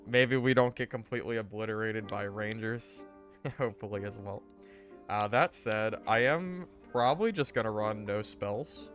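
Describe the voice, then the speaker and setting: A man streaming a game, chatting casually with viewers while he recovers from being sick, his voice a bit worn. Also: mid-range voice. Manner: rambling, relaxed, greets chat viewers. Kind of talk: casual gaming stream chat.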